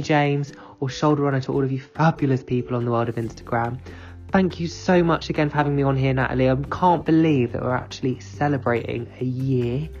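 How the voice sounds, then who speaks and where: A man's voice: mid-range voice, vocal fry, darkish tone. Bubbly, gushing man on a lo-fi recording. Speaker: former podcast guest. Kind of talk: recorded congratulatory voice message.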